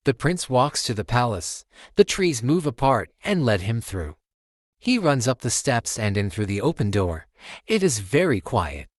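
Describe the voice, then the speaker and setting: A man's voice: mid-range voice, no room sound, clean studio sound. A man hosting a storytime channel, reading a children's picture book aloud as narrator.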